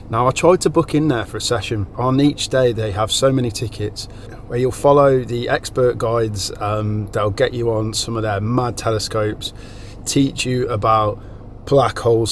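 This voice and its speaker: A man's voice: mid-range voice, slight background noise. A man chatting casually to camera outdoors as a travel vlogger, upbeat and loose with fillers. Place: outdoors on a road trip.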